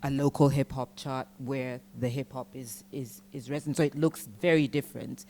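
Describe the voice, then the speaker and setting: Woman interviewer with a warm, mellow voice. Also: a bass voice, fairly even intonation, normal speed, slight background noise. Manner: builds long, probing questions. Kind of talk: onstage interview. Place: conference stage.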